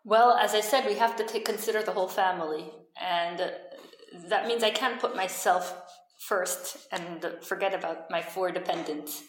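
A woman in her thirties answering interview questions in a halting way, with many fillers and restarts. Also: medium pitch, echoey room, rough recording. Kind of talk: casual conversation.

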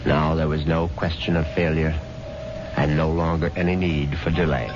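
An actor playing a scheming, murderous husband in a 1970s radio mystery, unctuous and confiding, given to stammering sweet reassurance. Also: male voice, very deep voice, little pitch movement, moderate pace. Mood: smug, confiding. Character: scheming murderous husband, nervously sweet-talking his wife. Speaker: narrator and lead character. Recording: dull vintage radio recording with music.

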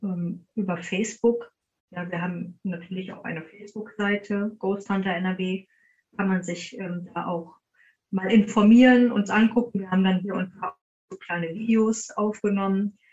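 The sound is dull and slightly muffled. A woman with a medium-pitched voice talks informally as an interview guest, explaining her work as an investigator. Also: fairly even intonation, normal speed, darkish tone.

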